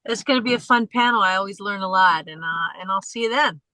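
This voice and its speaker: A woman's voice: mid-range voice, fast, sharp tone, dry recording, rough recording. A woman with a young-sounding voice guesting on a podcast as a filmmaker, friendly in casual chat. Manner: friendly, laughs easily.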